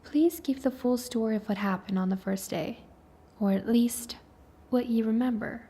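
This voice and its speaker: Composed female interrogator, played by a woman: mellow, breathy, polite and measured, soft ASMR drama delivery. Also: on the high side. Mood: calm, businesslike. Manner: measured, formal, polite questioning. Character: composed female official interviewing an invasion survivor.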